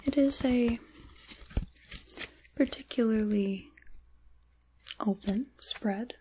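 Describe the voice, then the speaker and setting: Slow, whispering woman as a kindly witch. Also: on the high side, slight background noise. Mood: gentle, reassuring. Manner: gentle, unhurried, intimate, reassuring. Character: kindly, caring witch reading tarot. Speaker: ASMR roleplay performer. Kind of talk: soft-spoken ASMR roleplay, largely whispered.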